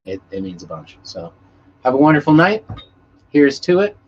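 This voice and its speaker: A man's voice: mid-range voice, huge pitch swings, normal speed, slightly rough. A man hosting a casual live stream, chatting with viewers in a laid-back, rambling way and swearing freely. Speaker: musician. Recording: muffled lo-fi stream audio with background noise.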